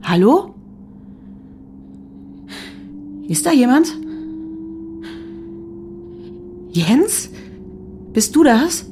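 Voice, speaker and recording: An actress playing a weary, uneasy woman alone in a forest in a radio play, her voice breathy, hushed and halting. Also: high voice, sing-song, a little hiss or hum, full character voice. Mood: anxious calling. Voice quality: breathy, husky, half-whispered. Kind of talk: German radio play, close inner narration.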